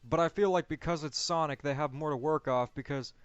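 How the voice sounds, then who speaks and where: A man's voice: a little high, brisk, clear, resonant tone, no echo. A man giving his opinions in a casual movie discussion as a co-host. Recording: dull, lo-fi, close dry sound.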